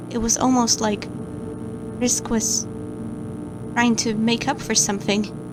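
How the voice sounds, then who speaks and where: A woman's voice: a little high, rough recording. A woman voicing a stammering, anxious watcher. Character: anxious, guilt-ridden woman watching camera feeds. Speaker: narrator of a horror log. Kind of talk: confessional horror log narration. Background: music.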